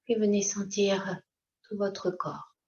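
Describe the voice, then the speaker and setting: A woman teaching yoga nidra, leading a slow guided meditation in a calm, unhurried way with short, gentle instructions. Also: medium pitch. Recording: dull, lo-fi sound.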